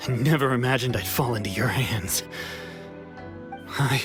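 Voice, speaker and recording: A man playing a gentle, defeated young swordsman in an anime game, speaking in a subdued, halting, weary way. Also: medium pitch, over music, lightly acted.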